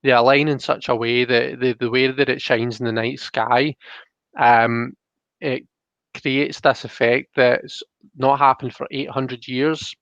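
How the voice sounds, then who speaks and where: A man's voice: mid-range voice, steady tone, unhurried, a bit bright, dry recording. Casual, rambling man, slightly dull recording. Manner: rambling, thinking aloud. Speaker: host of a live card reading stream. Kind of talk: casual chat, reading out viewer comments.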